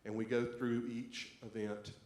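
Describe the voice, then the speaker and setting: A man's voice: mid-range voice, level tone, measured pace, a little mellow. A man speaking as a pastor, introducing a church service and leading a scripture reading. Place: church sanctuary. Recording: echoey church, faint background noise.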